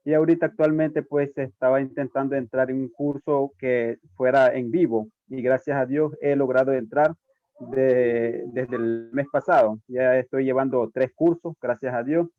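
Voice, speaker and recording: A man's voice: medium pitch, steady tone, normal speed, no room sound. A man student politely introducing himself on a video call. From Nicaragua. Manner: polite, grateful. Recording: dry, tinny phone-like line.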